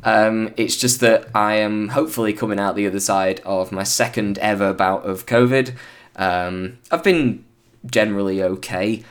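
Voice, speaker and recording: A hoarse British man hosting a podcast, chatting casually and rambling with plenty of fillers and restarts. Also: fairly low pitch, normal speed. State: hoarse, recovering from illness. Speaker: podcast host.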